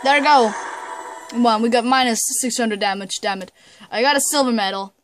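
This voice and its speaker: A woman's voice: on the high side, normal speed. Chatty young woman gaming. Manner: chatty, rambling with many ums and laughs. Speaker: gamer playing through a game. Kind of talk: casual gameplay commentary. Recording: talking over loud game music.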